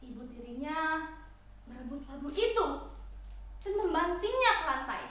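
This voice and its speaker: High, bright teenage girl storyteller, very echoey. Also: moderate pace. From Indonesia. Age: teens. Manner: theatrical, expressive. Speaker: storytelling contest entrant. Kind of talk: dramatic folktale narration. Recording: very echoey room.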